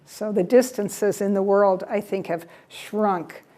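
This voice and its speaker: An older woman with an American accent chats her way through a library travel presentation. Her voice is mellow and warm at an ordinary pitch. She talks loosely, doubling words and drifting off with "yeah". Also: slow. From United States. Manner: chatty, repeats words, trails off with "yeah". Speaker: guest speaker at a library travel talk. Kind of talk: casual presentation with audience questions. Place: library event room.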